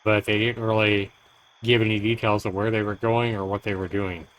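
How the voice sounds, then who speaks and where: A man's voice: fairly low pitch, little pitch movement, moderate pace, a bit bright, rough recording. A man livestreaming, reading game text aloud like a dramatic storyteller, with casual asides. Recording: clear background noise on stream.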